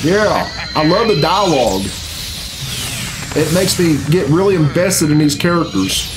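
A man's voice: a little high, expressive, measured pace, poor audio. A man in his thirties giving blunt, grumbling, profane off-the-cuff reaction commentary in a casual style. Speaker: reaction video host. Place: small furnished home room indoors. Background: game cutscene music.

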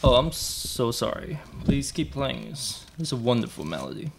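Man acting a gravelly, awkward young camper. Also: mid-range voice, very animated, moderate pace, noisy background. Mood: shy, friendly. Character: polite, awkward twenty-four-year-old man camper. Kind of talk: live student radio play. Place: small studio, indoors. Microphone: stand microphone.

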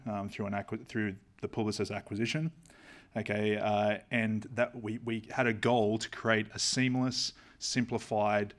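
An Australian man in his thirties gives a business presentation at a podium mic in a large conference room. His voice sits low and stays flat, with frequent "um" and "okay" and restarted phrases. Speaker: company executive presenting. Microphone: podium microphone.

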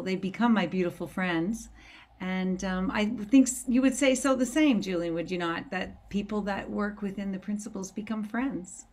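Creaky-voiced woman hosting a group chat. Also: on the low side. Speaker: host, course teacher. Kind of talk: casual group discussion hosting. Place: indoors on a group video call.

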